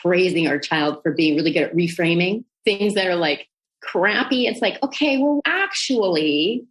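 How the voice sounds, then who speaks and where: A woman's voice: fairly low pitch, lively intonation, moderate pace, rough recording. A woman from Calgary, Canada, hosting a podcast, engaged and laughing easily as she talks. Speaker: podcast host. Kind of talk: casual conversation.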